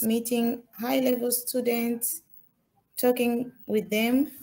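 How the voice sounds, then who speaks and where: Slow, halting young Tanzanian woman presenting. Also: a little high, mellow. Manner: halting, many fillers and restarts. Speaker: student presenter. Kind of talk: slide presentation.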